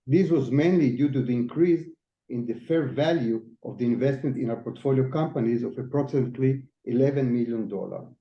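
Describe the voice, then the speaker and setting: A man's voice: mid-range voice, fairly quick. Formal male finance presenter, echoey dull audio. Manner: formal, reading figures. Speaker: chief financial officer.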